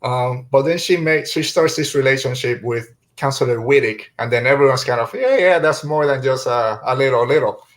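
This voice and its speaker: Male podcast host, medium pitch, informal and halting, leads a panel with questions. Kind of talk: casual panel review discussion.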